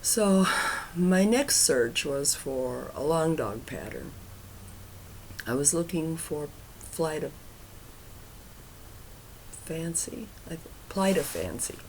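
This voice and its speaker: Middle-aged woman vlogger, deep and creaky, froggy from a cough, light hiss behind. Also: sing-song, slow, pauses often, a little mellow, rough recording. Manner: hesitant, many ums, trailing off to near whispers. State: raspy cough, froggy throat. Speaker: cross-stitch vlogger. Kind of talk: casual chat to viewers.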